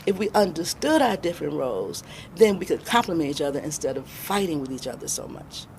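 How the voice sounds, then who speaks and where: A middle-aged American woman answering interview questions as a guest, reflective and deliberate, pausing for emphasis. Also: low-pitched, lively intonation, vocal fry, darkish tone, slight background noise, low-quality recording. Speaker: interview guest, independent filmmaker.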